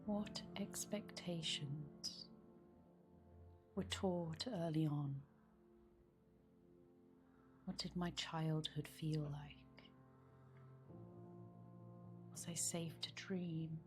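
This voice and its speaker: A woman guiding a meditation in a soft, soothing manner, with phrases often trailing into breathiness. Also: low voice, steady tone, extremely slow, pauses often. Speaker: meditation guide. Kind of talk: guided meditation. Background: background meditation music.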